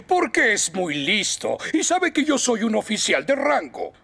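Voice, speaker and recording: A man's voice: high voice, big pitch swings, unhurried, vocal fry, slightly rough, exaggerated voice. A man playing a self-important older fire station chief in a children's cartoon dub, pompous and commanding. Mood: smug. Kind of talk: animated children's series dub. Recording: loud music under the voice.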